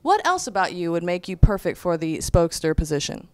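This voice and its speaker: Quick-talking young woman interviewer. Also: on the low side, very animated, clean tone, dry recording. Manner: bright, upbeat, quick questions. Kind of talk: upbeat interviewer chat. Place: indoor room before a banner. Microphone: handheld mic.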